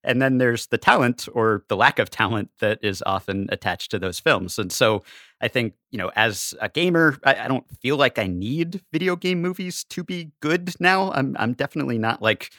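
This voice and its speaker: A man's voice: mid-range voice, animated, moderate pace, bright, forceful tone, no room sound, studio quality. A man speaking as a podcast guest, casually analytical and wryly humorous in how he puts things.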